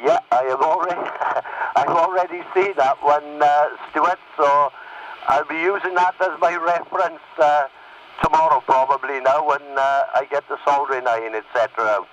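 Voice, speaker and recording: Man, CB radio operator, fairly high voice, casual chat, clearly noisy signal. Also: steady tone, moderate pace, fairly bright tone, recorded from a distance, highs cut off. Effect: CB radio transmission.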